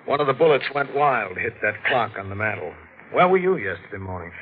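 An actor playing a blunt, skeptical police detective, clipped and matter-of-fact, in 1940s radio mystery style. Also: male voice, lowish voice, expressive, fairly quick. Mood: businesslike. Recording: dull old radio recording.

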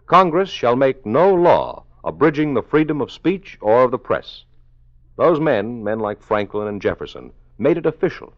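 A man with an American accent playing a solemn announcer, speaking with dramatic, emphatic oratory in a 1950s radio message. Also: lowish voice. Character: solemn male announcer warning about lost freedoms. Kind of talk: 1950s radio public service announcement narration. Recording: muffled 1950s radio broadcast.

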